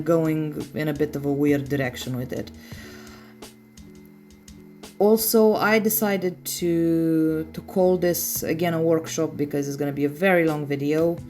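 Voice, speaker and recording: Low-voiced woman with non-native English accent. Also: a little mellow. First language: not English. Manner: chatty, self-deprecating, apologetic. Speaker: nail art tutorial host. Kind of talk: casual tutorial voice-over.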